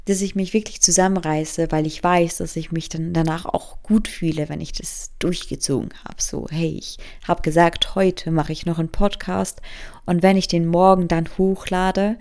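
A woman's voice: on the low side, fairly even intonation, moderate pace, a little mellow. A woman in her mid-twenties from Germany, hosting a casual solo podcast, rambling and self-mocking as she drifts from topic to topic and mixes in English phrases. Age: 20s. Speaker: podcast host.

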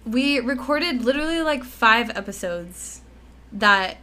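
Bright-voiced young woman podcast co-host. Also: fairly high pitch, lively intonation, unhurried. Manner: chatty, laughs often, many fillers and restarts. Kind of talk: casual chat.

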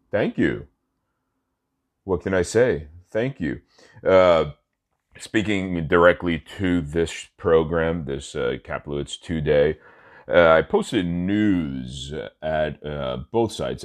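A man with an extremely low, deep voice hosts a laid-back solo podcast. His nose is stuffed up from allergies. He drifts along with lots of "uh"s and corrects himself as he goes. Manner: rambling, many "uh" fillers and self-corrections; relaxed, smoking while talking. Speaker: podcast host. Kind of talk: casual solo podcast talk.

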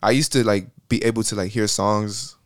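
A man's voice: fairly low pitch, fairly even intonation, moderate pace, no room sound, studio quality. A young man from Miami, a podcast guest, talking casually and rambling with lots of fillers. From Miami, Florida. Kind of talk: casual interview chat.

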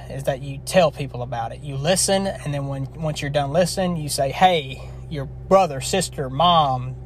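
A man of twenty-one hosting his own podcast in a casual, rambling, earnest way, his throat scratchy from a long session. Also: a little high. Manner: rambling, earnest, with frequent restarts. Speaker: podcast host. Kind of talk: casual solo podcast wrap-up.

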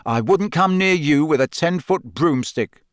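A man voicing a loyal, hot-tempered teenage boy from a storybook, speaking bluntly and forcefully. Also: on the high side, expressive, a little slow, no room sound, studio quality, voice pushed up. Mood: angry.

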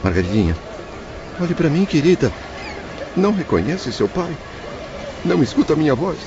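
A man's voice: on the high side, sing-song, normal speed, background noise. An actor playing a loving middle-aged father's ghost, emotional and pleading, in melodramatic radio drama style. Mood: tender pleading. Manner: emotional, swinging from tender to commanding. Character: anguished, loving father's ghost, unheard by his family. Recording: dull recording with music behind.

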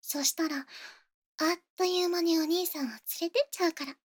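A woman's voice: extremely high pitch, steady tone, fairly quick. A woman playing a possessive, sweetly menacing young rich girl in a Japanese ASMR voice drama, with cutesy, coaxing phrasing and whispers. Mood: possessive, coolly threatening.

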